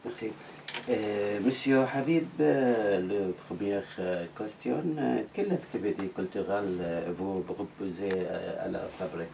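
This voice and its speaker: A man asks his questions slowly and haltingly, stopping often to hesitate. His voice is low, mellow and gravelly, and he sounds well away from the microphone, with a faint hiss in the background. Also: distant mic. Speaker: interviewer. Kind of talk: interview questions.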